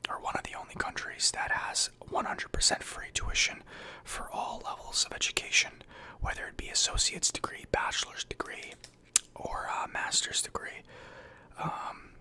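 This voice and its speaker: A man softly whispering an ASMR sci-fi journal roleplay in a breathy, hesitant, thoughtful way, close to the microphone. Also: medium pitch. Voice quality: breathy whisper and soft speech. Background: computer keyboard typing.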